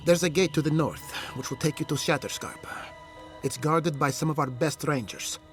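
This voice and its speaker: An actor playing a wary, grave animancer in a video game, speaking in a measured, formal way. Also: male voice, on the high side, fairly bright tone, soft background music, lightly acted. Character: wary, grave male animancer favouring caution. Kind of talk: scripted video game dialogue.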